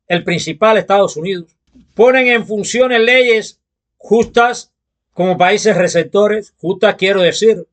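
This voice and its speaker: A man from Cuba with a Cuban accent, delivering a political opinion monologue in a deliberate, emphatic manner. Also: high voice. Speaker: political commentator.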